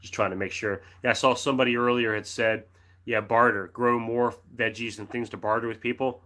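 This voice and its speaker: A middle-aged American man hosting a casual livestream, reading out viewer comments in a friendly, rambling way with restarts and throat clearing, his throat dry and a cough drop in his mouth. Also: mid-range voice, steady tone, brisk, pushed and bright. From United States. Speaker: livestream host reading chat. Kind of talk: casual live chat.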